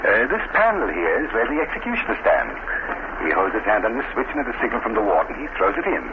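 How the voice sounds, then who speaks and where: An actor playing a sinister, smoothly genial prison guard who relishes talk of death, in 1930s radio drama style. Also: male voice, on the low side, wildly swooping pitch, fast talker. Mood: morbidly eager. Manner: unctuous, politely insistent, relishing his words. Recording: noisy, dull 1930s radio recording.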